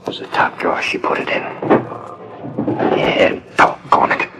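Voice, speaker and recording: An actor playing a sneaking masked burglar in 1940s radio style, muttering and whispering in folksy American speech. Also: male voice, a little slow, very noisy, close to an everyday voice. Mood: furtive, tense. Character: sneaking masked male burglar, muttering, jittery, rough-spoken. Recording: old 1940s radio transcription disc.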